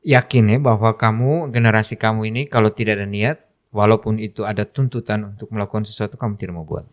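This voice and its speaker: A man's voice: fairly low pitch, fairly even intonation, fast talker. A man hosting a studio talk show, asking probing questions and rephrasing them with fillers. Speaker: host.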